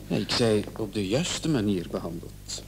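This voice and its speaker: A man's voice: on the low side, sing-song, normal speed, vocal fry. A man in a radio drama playing a hospital doctor who is placating and condescending. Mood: defensive. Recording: old radio play, faint noise and hum.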